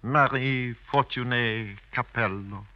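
An actor playing a formal, flattering marriage broker in an old radio drama. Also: male voice, mid-range voice, takes it slowly, natural voice. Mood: persuasive, formal. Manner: formal, obsequious salesmanship. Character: smooth-talking, formal French marriage broker, male. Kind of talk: 1950s radio drama acting. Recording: dry but dull old radio recording.

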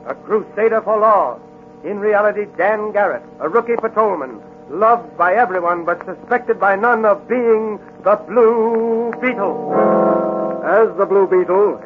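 High-pitched declamatory man, vintage radio intro. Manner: emphatic, declamatory. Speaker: radio serial announcer. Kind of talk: grand 1940s radio introduction. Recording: muffled vintage radio recording over music.

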